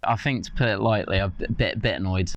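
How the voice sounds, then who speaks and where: A man's voice: on the low side, fast talker, no room sound, dull audio. A man in his twenties, a football fan, speaking bluntly and indignantly in a documentary interview. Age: 20s.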